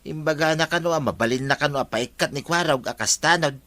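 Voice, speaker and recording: A man's voice: on the high side, fast, bright, forceful tone. A man acting as a cautioning village companion in an Ilocano drama. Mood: explaining. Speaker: actor in drama.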